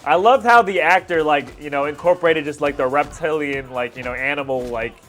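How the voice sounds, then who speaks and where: A man with a fairly high voice gives excited play-by-play reaction commentary. He talks in casual, slangy bursts like an online video host. Music plays behind his voice. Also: brisk. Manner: casual slang, hyped exclamations.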